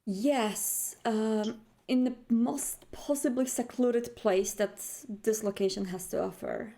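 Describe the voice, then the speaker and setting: A woman's voice: a little high, slow, vocal fry, dark tone. A woman playing a tabletop roleplay game, casually describing her character's actions and hesitantly thinking aloud.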